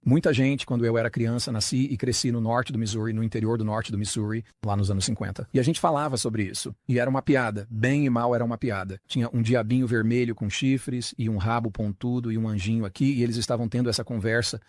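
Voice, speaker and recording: A man's voice: mid-range voice, steady tone, fast talker, few pauses, a little mellow, dry recording. A man speaking in an earnest, explanatory way as the dubbed voice of a talk, posing rhetorical questions and answering them himself. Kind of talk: Portuguese voice-over of an interview talk.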